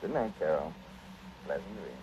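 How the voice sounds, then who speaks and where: A man playing a gentle, kindly father and storyteller in a 1940s radio play. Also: very deep voice, expressive, normal speed, background noise. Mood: gentle, fatherly. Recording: dull 1940s radio recording. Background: music.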